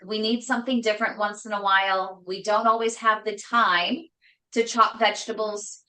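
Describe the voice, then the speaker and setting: Loud, chatty woman in an echoey kitchen. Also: mid-range voice, little pitch movement, moderate pace. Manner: loud voice that carries, chatty and emphatic. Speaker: host cooking on a live stream. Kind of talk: casual cooking chat. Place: home kitchen.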